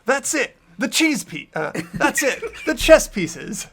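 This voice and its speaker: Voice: high voice, big pitch swings, slow, vocal fry, a bit bright, heavily acted. A performer voicing an earnest teenage boy investigator who argues through the evidence in a fan dub of a game. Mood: reasoning.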